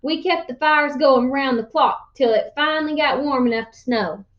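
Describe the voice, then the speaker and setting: A woman's voice: high voice, normal speed, dull audio, close to an everyday voice. A woman voicing a folksy, boastful old man spinning tall tales in a children's storybook read-aloud. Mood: wondrous, animated.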